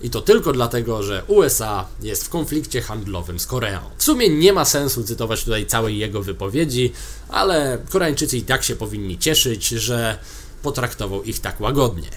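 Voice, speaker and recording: A young man hosting an online news show, giving sarcastic, opinionated commentary to camera with lively hand gestures in a small studio. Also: medium pitch, moderate pace, a little hiss or hum. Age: young adult. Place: small indoor studio with plain wall.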